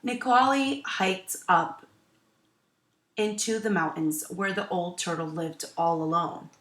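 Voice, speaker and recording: A woman reading a storybook aloud as a teacher, in a deliberate, instructive way. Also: lowish voice, fairly bright tone, a little hiss or hum. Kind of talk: read-aloud storybook narration with teaching asides. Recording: roomy, echoing sound.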